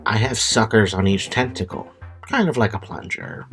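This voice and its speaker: A man's voice: on the low side, expressive, normal speed, fairly bright tone, faint music behind. A man voicing a nervous, obedient octopus who explains fussily in an audio comedy drama. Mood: matter-of-fact explaining. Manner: hesitant, eager to please, explains fussily.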